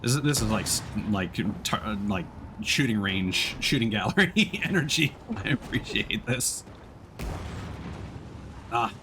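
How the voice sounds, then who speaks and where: A man giving casual let's-play commentary as he streams a game, thinking aloud in a halting way. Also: medium pitch, lively intonation, background noise. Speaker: streamer playing a video game.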